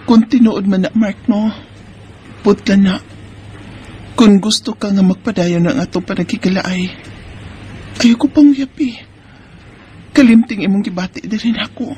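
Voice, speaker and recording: A woman's voice: medium pitch, a little slow, pauses often, warm tone, a little hiss or hum, natural voice. A woman with a Cebuano Bisaya accent playing a married mother, teasing yet guarded, in a radio drama with first-person narration. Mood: firm, pleading.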